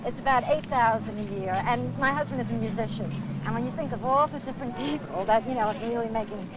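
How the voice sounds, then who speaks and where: Exasperated woman in loud street noise. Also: on the high side, quick pace. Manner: exasperated, emphatic. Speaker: street interviewee. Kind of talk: casual street interview. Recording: very noisy outdoor old film sound.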